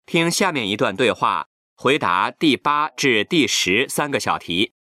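A man reading formal test instructions in an even, announcing manner. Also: medium pitch, measured pace, no echo. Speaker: exam announcer.

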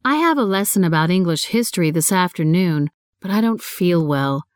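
A woman reading a scripted dialogue clearly and deliberately, as in a listening test. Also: on the low side, animated, no echo, studio quality.

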